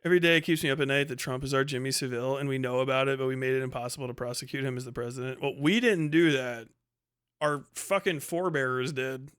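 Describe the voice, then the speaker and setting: A man's voice: medium pitch, steady tone, fast talker, a bit bright, clean tone. An American man livestreaming an unscripted rant in a blunt, profane, exasperated and argumentative way, repeating points for emphasis. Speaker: livestream host. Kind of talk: casual unscripted livestream rant, answering chat.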